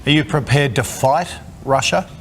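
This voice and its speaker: A man anchoring a live news broadcast, asking brisk, direct questions of remote guests. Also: mid-range voice, a bit bright. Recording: faint background noise.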